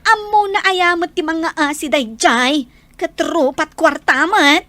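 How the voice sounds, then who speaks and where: A woman playing an anxious, sharp-tongued character in an audio drama, fretting and nagging with an Ilocano Filipino accent. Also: very high-pitched, slow pace, no echo, full character voice. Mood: fretful, nagging. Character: high-voiced, anxious, sharp-tongued woman short of money.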